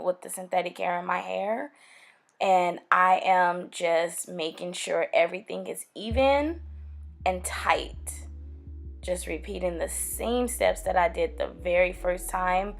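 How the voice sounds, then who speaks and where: A young woman, raspy from getting over a cold, chatting casually to her viewers as she explains braiding her own hair step by step, recorded close to a camera at home. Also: mid-range voice. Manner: chatty, addresses viewers as guys and girl. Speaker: hair tutorial vlogger. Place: small room at home, indoors.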